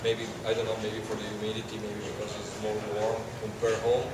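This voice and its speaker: A man's voice: on the low side, completely flat delivery, fast. A middle-aged man, not a native English speaker, casually explaining with non-native grammar. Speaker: sailing competitor. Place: large covered open-sided patio. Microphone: far camera. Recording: echoey, distant mic, background hum.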